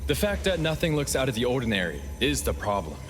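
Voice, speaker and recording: A man's voice: medium pitch, very animated, fast, a little hiss or hum, lightly acted. A man playing a level-headed, seasoned investigator in a video game, sober, knowing and matter-of-fact. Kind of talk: scripted game dialogue. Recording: music clearly playing behind.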